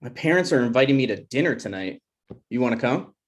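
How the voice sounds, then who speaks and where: A man's voice: mid-range voice, lively intonation, fast, natural voice. A touchy, joking boyfriend of twenty-six, played by a man at a table read. He has a mid-range voice and a casual, self-deprecating way of talking. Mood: casual, jokey. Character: Ryan, sensitive, jokey twenty-six-year-old boyfriend.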